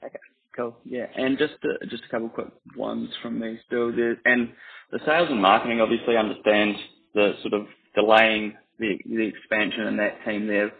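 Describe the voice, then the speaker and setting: Gravelly, flat-toned man on a phone line. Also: fairly low pitch, normal speed. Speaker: analyst asking questions. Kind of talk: informal conference call questioning. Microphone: telephone conference call. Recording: muffled phone-like call line.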